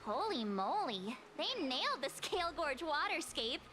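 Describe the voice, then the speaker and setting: A woman voicing an excitable, cheeky little game companion with a dramatic, girlish delivery. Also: high-pitched, a little slow, a crowd in the background. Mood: eager, awed. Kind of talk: video game character acting. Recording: game dialogue over music.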